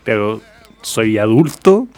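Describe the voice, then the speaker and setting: A middle-aged man with a Chilean accent answering in a radio interview, speaking conversationally in a hesitant, halting way. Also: on the low side, sing-song, a little mellow. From Puerto Varas, southern Chile. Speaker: interview guest, regional government official.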